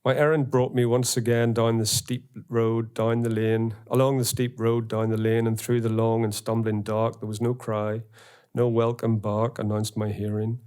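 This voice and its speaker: A middle-aged man with a Northern Irish accent giving an academic lecture in a university auditorium, reading poems aloud in a measured way. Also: on the low side, flat delivery, a little mellow, smooth. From Northern Ireland. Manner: measured, reads quotations slowly.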